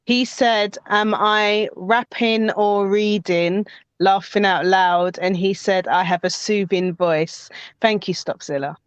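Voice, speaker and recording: Gentle woman on dull lo-fi audio. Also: medium pitch, slow pace, clear, resonant tone, dry recording. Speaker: podcast panelist. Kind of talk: casual debate chat.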